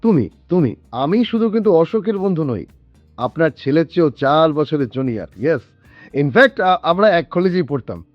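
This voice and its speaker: A self-assured, glib businessman in a radio play. His voice is fairly high and very lively, sprinkled with English interjections. The audio is a little dull, with music behind it. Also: moderate pace, no echo. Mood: effusive, polite. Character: slick, talkative middle-aged businessman and salesman, self-assured. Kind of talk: radio drama acting.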